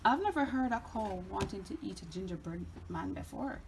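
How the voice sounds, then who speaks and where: A woman's voice: medium pitch, animated, brisk, slight background noise. A woman chatting casually and playfully with a child during storytime, prompting and encouraging. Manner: encouraging, playful, reacting with interest.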